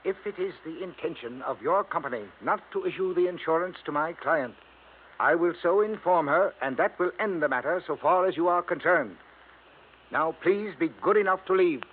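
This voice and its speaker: A man's voice: on the high side, normal speed, slightly rough, narrow, tinny audio. A man playing a stuffy, evasive senior lawyer in an old radio drama, speaking in a prim, formal, huffy way. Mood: indignant. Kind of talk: 1950s radio drama acting. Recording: thin old radio broadcast, faint noise.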